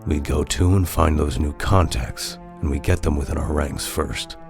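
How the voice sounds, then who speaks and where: A man acting as an arrogant, ruthless villain leader in an audio drama. His voice is extremely deep and gravelly, pompous and menacing, with music underneath. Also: fairly even intonation, normal speed. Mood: cold, ruthless.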